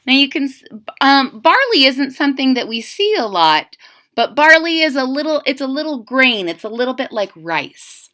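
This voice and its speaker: A woman reading a children's story aloud and pausing to explain it in a gentle, animated way. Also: sits high. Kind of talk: children's read-aloud with commentary.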